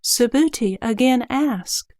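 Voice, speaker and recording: A woman narrating a scriptural audio drama in a measured, formal way. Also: on the high side, animated, darkish tone, no room sound, clean studio sound.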